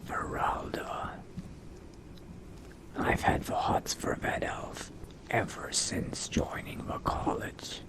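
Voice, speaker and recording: Video game vampire mage, played by a man: cold, hungry, brooding. Very slow, whispered, gravelly musing to himself. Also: poor audio. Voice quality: low gravelly breathy whisper. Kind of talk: in-character video game roleplay monologue.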